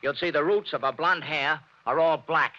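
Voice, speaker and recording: A cocky amateur sleuth explains the crime with brisk self-assurance. His voice is slightly high and very bright. It has the muffled, dull sound of an old 1940s radio recording. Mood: assured. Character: sharp, confident male amateur detective. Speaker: detective explaining the case.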